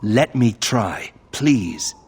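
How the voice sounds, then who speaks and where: An actor playing a brooding, earnest young nobleman in a video game, with a husky, intense voice. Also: fairly low pitch, lively intonation, extremely slow, exaggerated voice. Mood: pleading.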